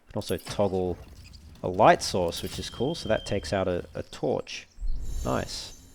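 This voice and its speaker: Male let's player, low-pitched, talking casually through a game and reasoning aloud as he goes. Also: lively intonation, unhurried, vocal fry, a little hiss or hum. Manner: thinks aloud while reading menus. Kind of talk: casual gameplay walkthrough commentary.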